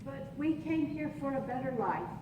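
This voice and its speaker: A woman's voice: high voice, expressive, moderate pace, highs cut off. Lady acting sad immigrant mom in old radio play, far from mic, echoey hall. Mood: anguished. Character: sorrowful Japanese immigrant mother, worried for children. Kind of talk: 1940s radio drama acting. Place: theatre stage, live performance. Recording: echoey hall, distant and noisy.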